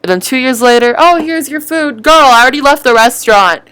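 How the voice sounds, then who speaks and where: A woman's voice: high-pitched, brisk, clear, resonant tone. A woman giving casual, sarcastic reaction commentary, often acting out what others say. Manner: sarcastic, animated mimicking. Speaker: video commentator.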